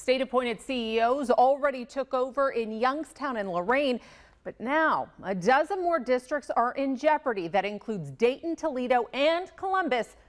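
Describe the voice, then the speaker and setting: A woman TV news reporter delivering a live report to camera in a crisp, direct manner. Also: a little high.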